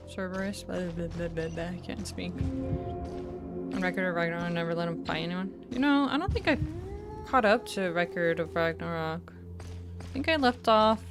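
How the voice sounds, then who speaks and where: Girl streamer rambling over a game, casual n playful, trails off and restarts, game music behind. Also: lowish voice. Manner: rambling, self-interrupting, playful. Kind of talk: casual live gaming commentary.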